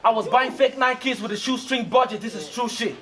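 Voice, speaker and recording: A man's voice: very high-pitched, fairly quick, sharp tone, slight background noise. A man performing battle rap verses in a boastful, taunting way. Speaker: battle rapper.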